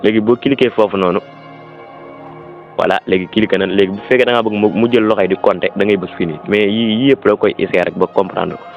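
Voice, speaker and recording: A man's voice: on the low side, fairly even intonation, quick pace, gravelly, lo-fi sound, soft background music. A man with a Senegalese accent gives an informal step-by-step app tutorial, repeating instructions and mixing in French words. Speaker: tutorial presenter.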